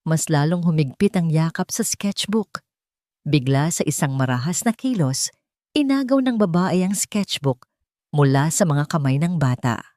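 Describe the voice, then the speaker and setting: A woman's voice: low voice, lively intonation, unhurried, mellow, no echo, studio quality. A woman with a Filipino accent reading a scripted dramatic story as an audiobook narrator.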